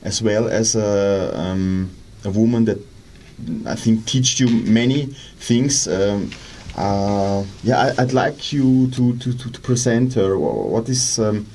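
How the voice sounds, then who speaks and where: A man's voice: fairly low pitch, takes it slowly, a little mellow, slight background noise. A man hosting a radio interview with a Swiss German accent, talking with many fillers and restarts. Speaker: radio host. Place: radio studio.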